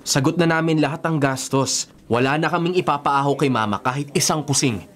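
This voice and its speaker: A man acting a young Filipino son in a radio drama. He is defensive and matter-of-fact, mid-pitched, with faint music in the background. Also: fairly quick, close to an everyday voice. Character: defensive, unsentimental grown son, a young engineer.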